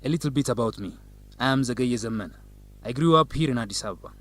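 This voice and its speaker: Student council president, young man, mid pitch, formal and measured like a politician, radio drama. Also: animated, a bit bright. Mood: formal, assured. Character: dignified young male law student, newly elected council president. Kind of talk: scripted educational radio drama, interview answers.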